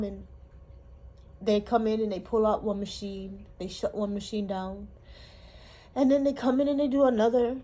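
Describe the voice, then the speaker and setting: A woman from Hawaii, telling her life story in a halting, emotional way with pauses. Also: on the high side, warm tone. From Honolulu, Hawaii. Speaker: interviewee. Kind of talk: personal testimony.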